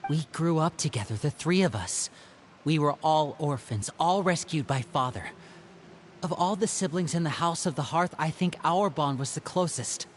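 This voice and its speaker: A man voicing an earnest, protective young brother in a video game, speaking in a measured, heartfelt way. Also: a little high, slight background noise, close to an everyday voice. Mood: earnest, tender.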